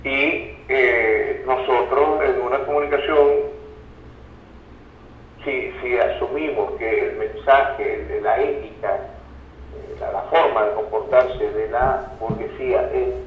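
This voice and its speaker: A Venezuelan man speaking as an interview guest, explaining analytically in a halting, didactic way and checking that he is being followed. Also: medium pitch. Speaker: interview guest, communication researcher. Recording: roomy, distant, dull sound, faint noise.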